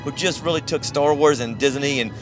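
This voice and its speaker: A man's voice: fairly high pitch, brisk, low-quality recording. American man podcasting over music. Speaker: podcast co-host. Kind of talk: casual podcast chat. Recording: music behind the voice.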